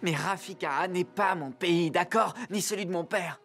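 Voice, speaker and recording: A man playing a bitter, sarcastic human boy in a dubbed animated adventure, with a sullen, defiant way of talking. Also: high voice, moderate pace, faint music behind.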